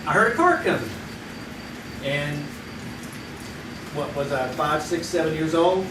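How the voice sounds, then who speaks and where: A folksy storyteller. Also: male voice, on the high side, lively intonation, a little slow. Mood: nostalgic. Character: grown man telling a childhood story to friends. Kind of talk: live radio play on stage. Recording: echoey stage recording from far off, with audience noise.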